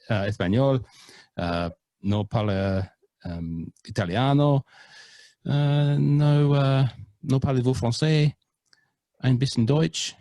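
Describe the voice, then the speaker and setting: Man, podcast co-host, casual chat, playful, hams up foreign words, lowish voice, dry close mic. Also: darkish tone, no room sound. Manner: playful, mock-earnest, hams up foreign pronunciations.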